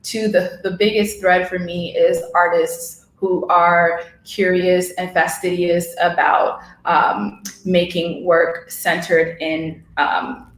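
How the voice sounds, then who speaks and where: A woman's voice: lowish voice, flat delivery, slow, few pauses. A woman speaking reflectively as a co-host, searching thoughtfully for her phrasing, recorded in an echoey room. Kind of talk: reflective conversation.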